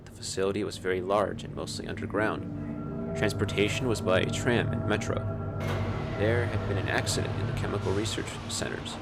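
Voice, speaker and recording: A man with a low voice narrates an audio drama in a literary, scripted style. There is noticeable fry in his voice. Loud music plays underneath throughout. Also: fairly even intonation, moderate pace.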